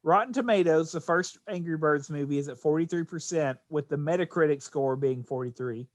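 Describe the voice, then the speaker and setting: Middle-aged male podcaster, slightly high register, conversational delivery, close dry sound. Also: fairly quick, no room sound. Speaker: podcast co-host. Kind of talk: casual podcast discussion.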